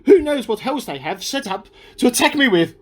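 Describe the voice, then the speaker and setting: A man acts out a paranoid amateur scientist in a radio play. His voice is rough-edged and swings widely in pitch. He delivers his diary with agitated melodrama. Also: very animated, normal speed, exaggerated voice. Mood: alarmed, rattled. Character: paranoid male amateur scientist at war with hamsters.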